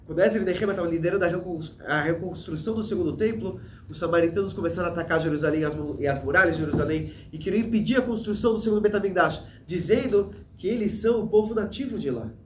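A man in his thirties teaching a Torah lesson in an animated way, gesturing and restarting often, recorded indoors on a desk mic. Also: high-pitched, echoey room, a little hiss or hum. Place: small room with a plain wall, indoors. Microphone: desk mic in front, medium framing.

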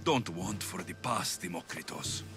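An actor playing a compassionate young Greek mercenary in a video game, speaking in an earnest, measured, plain way. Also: male voice, sits low, lightly acted. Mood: solemn, consoling. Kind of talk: video game dialogue acting. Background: game music.

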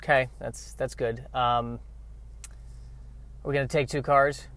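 A man playing a skeptical, reluctant temp secretary in a dry, halting, grumbling way in improvised radio comedy. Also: medium pitch, level tone, fairly bright tone, natural voice. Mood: resigned.

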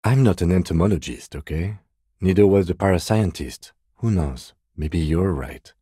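A man playing a calm, composed middle-aged police detective in a video game, speaking in a measured, restrained and precise way. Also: deep voice, husky, no echo, clean studio sound. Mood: tense, hushed focus. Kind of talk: video game voice acting, understated dialogue.

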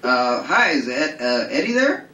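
A man's voice: medium pitch, sing-song, unhurried, sharp tone, dull audio. A man playing a clumsy, boastful male card player who stammers eagerly. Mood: flustered eager. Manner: stammering, full of uhs, eager. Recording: roomy hall, mic at a distance.

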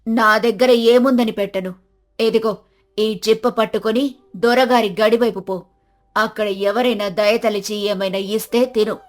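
A woman's voice: a little high, fairly even intonation, unhurried, lightly acted. A woman voicing a bitter old village grandmother who speaks in a harsh, reproachful, dismissive way. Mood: bitter scolding.

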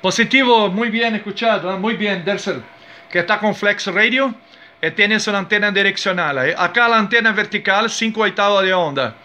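A man with a high, forceful voice and a Brazilian Portuguese accent chats as a cordial radio amateur. He gives call signs and signal details in a casual way. The recording is dull and lo-fi. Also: normal speed. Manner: friendly, cordial.

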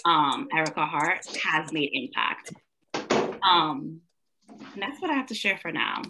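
A woman's voice: low-pitched, animated, unhurried, noisy background. A young woman, a teacher and student, speaking reflectively on a video call with frequent fillers. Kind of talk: town hall video call. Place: indoor room at home.

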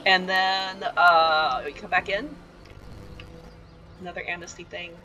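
Bright-voiced chatty woman touring a game house. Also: on the low side, fairly even intonation, measured pace, dull audio. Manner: chatty and rambling, with frequent ums and asides. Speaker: video game tour guide. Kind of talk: casual gameplay voice-over tour.

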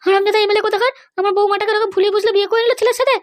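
A very high, shrill voice from a woman plays an aggrieved cartoon mother-in-law. She scolds and complains at a breakneck clip, full of scheming grievance. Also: steady tone, racing. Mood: indignant. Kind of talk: exaggerated cartoon dialogue.

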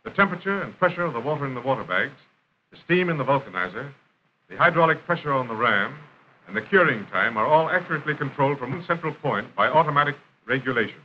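An American man reading scripted narration for a 1930s industrial film in a formal, measured announcer style. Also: medium pitch, animated. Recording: dull, noisy 1930s film soundtrack.

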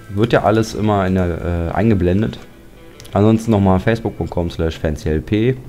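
A man's voice: sits low, warm tone. A man giving casual, joking commentary while playing a building game, grumbling at monsters along the way. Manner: chatty, joking, grumbles at mobs. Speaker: Let's Play commentator. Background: game music.

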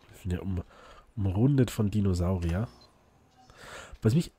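Let's play host, male, a bit hoarse, chatty and rambling, faint hiss behind. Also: deep voice, unhurried, warm tone. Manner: chatty, rambling, frequent fillers and restarts. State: slightly hoarse from illness. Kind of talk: casual gameplay commentary.

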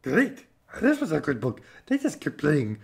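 High, raspy, chirpy cartoon monster Max. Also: male voice, huge pitch swings, unhurried, a little mellow, heavily acted. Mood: chirpy, playful.

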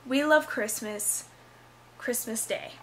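A woman's voice: on the high side, slow, a little hiss or hum, low-quality recording. A young woman playing an earnest, over-dramatic narrator, declaiming verse from a Christmas stage show. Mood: grandly festive. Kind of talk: dramatic reading.

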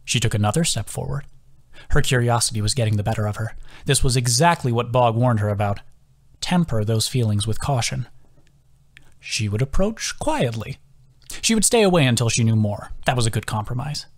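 A man's voice: medium pitch, lively intonation, a little fast. A man reading scripted fantasy fiction as an audiobook narrator. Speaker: audiobook narrator, author reading own book.

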